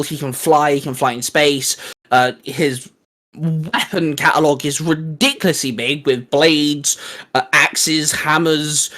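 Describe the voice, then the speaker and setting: A man's voice: a little high, bright, forceful tone. A man co-hosting a casual fan discussion about comics, talking in a chatty, rambling, hesitant way. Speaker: co-host of a geek discussion channel.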